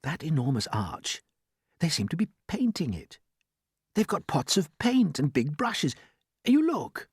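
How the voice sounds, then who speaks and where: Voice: mid-range voice, wildly swooping pitch, measured pace, husky, no room sound, clean studio sound, pitched above the performer's own voice, exaggerated voice. A storyteller voicing a young boy, brave and level-headed, in a read-aloud storybook. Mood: hushed.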